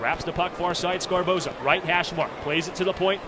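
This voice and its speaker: A man's voice: high voice, steady tone, a little fast. An American man calling live radio play-by-play from a sports arena in a clipped, running present-tense style. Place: sports arena broadcast position. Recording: loud crowd and arena noise behind. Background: roaring crowd, arena music.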